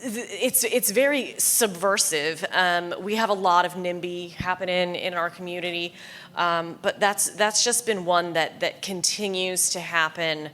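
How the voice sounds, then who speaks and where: A woman's voice: on the low side, steady tone, measured pace, fairly bright tone. A woman bookseller speaking on a conference panel, hesitant with many fillers. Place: conference session room.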